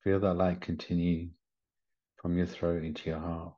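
A man leading a guided meditation in a gentle, soothing manner, with short unhurried instructions. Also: low-pitched, little pitch movement. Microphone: video call. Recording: slightly muffled video call audio.

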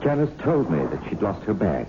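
A tense, earnest accused man in a 1960s radio thriller. His low voice swings widely as he insists, in formal phrasing. The recording is old and muffled. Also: sing-song, brisk, background noise. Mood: earnest, anxious. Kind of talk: 1960s radio drama acting.

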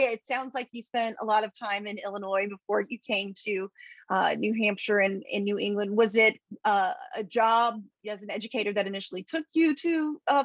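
A woman's voice: mid-range voice, no echo. A woman hosting an interview, affirming and thoughtful as she responds to her guest, heard over a remote call. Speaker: interview host. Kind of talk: casual interview. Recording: dull dry lo-fi audio.

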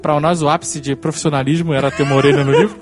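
A man with a Brazilian accent hosting a casual podcast, talking excitably in slangy, sweary speech. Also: fairly high pitch, very fast. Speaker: podcast host.

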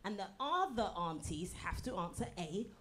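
A woman's voice: mid-range voice, very animated, normal speed, a bit bright, a little hiss or hum. A middle-aged woman co-hosting a live podcast, brash and jokey. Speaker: podcast co-host.

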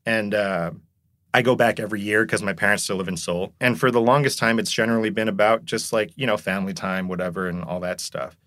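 A man's voice: lowish voice, fast talker, a bit bright, dry recording, clean studio sound. A man who grew up in Korea, a podcast guest chatting casually and rambling with lots of filler words. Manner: rambling, heavy use of "like" and "you know". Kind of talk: casual conversation.

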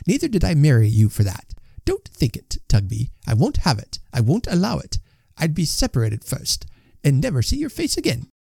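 Storybook old wife played by a man: high, emphatic, kind-hearted, stands her ground. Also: huge pitch swings, moderate pace, gravelly, darkish tone, husky, dry recording, clean studio sound, full character voice. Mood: indignant, firm. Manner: emphatic, scolding, repetitive. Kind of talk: audiobook character voice.

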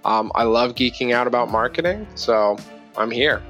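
A man's voice: medium pitch, expressive, normal speed, fairly bright tone, muffled recording, soft background music. A man explaining business informally as a podcast guest, thinking aloud and correcting himself as he goes. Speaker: podcast guest, marketing executive.

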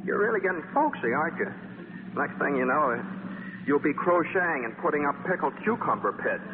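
Sardonic, animated wisecracking writer. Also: male voice, a little high, fairly quick. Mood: sardonic, grumbling. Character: wisecracking, cynical, flirtatious male feature writer. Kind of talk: 1940s radio acting. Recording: muffled, noisy 1940s radio recording, reverberant.

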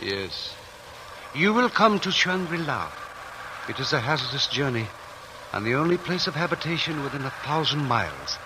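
An actor playing a calm, courteous and evasive male envoy from a mountain monastery in a 1940s radio drama. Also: medium pitch, normal speed, close to an everyday voice. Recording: noisy old radio broadcast.

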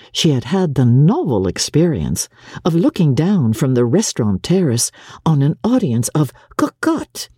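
A woman's voice: a bass voice, warm tone, breathy edge, no room sound, clean studio sound. A woman narrator giving a dramatic audiobook reading of classic literature.

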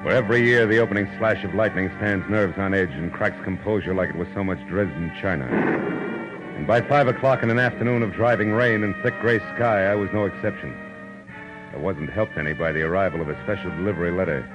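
An American actor playing a wry, world-weary private detective, deadpan and sardonic, in a 1940s radio drama style. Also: male voice, low-pitched, fast talker, close to an everyday voice. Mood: wry narrating. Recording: muffled old radio recording, music behind.

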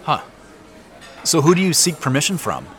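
A man's voice: on the high side, wildly swooping pitch, moderate pace, fairly bright tone, faint music behind. A man acting in a naturalistic audio drama as a cautious, uneasy professional with a hesitant, questioning manner. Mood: probing, casual.

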